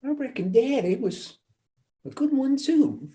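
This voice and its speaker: Stage play: woman playing fond, excitable Southern sister, notably high pitch, lively swings, folksy exclamations. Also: unhurried. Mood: fond, animated. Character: excitable, fond rural sister from coal-country hills.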